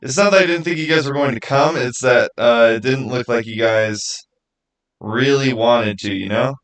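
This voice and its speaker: A man's voice: medium pitch, normal speed, fairly bright tone. A man hosting a livestream, chatting casually and informally straight to his viewers. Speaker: live stream host running a giveaway.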